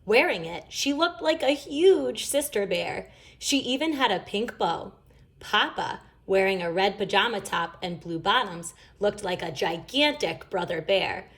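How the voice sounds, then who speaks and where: Animated woman reading a children's story. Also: mid-range voice, a little slow. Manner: animated, emphatic. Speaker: storybook reader. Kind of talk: expressive children's story read-aloud.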